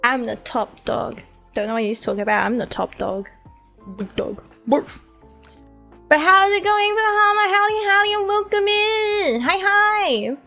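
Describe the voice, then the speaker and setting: A woman's voice: very high-pitched, a little slow, vocal fry, sharp tone, faint music behind. A woman streamer with a sore throat, chatting casually in a rambling way, swearing and laughing.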